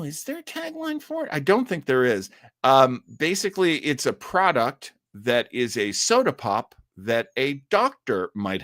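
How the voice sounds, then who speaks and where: A man's voice: medium pitch, fairly bright tone, dry recording, clean studio sound. A man hosting a live online show, chatting casually and reading out comments in a rambling, jokey way with frequent laughter. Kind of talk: casual livestream chat reading viewer comments.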